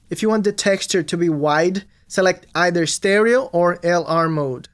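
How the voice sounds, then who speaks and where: A music production tutorial host narrating a scripted lesson, explaining things clearly step by step. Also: medium pitch.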